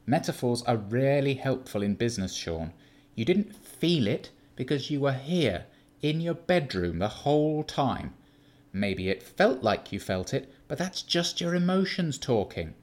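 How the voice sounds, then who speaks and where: A man's voice: mid-range voice, pitched above the performer's own voice. A man voicing a callous, condescending male drug dealer who lectures irritably in a raised voice. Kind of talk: audiobook character voice.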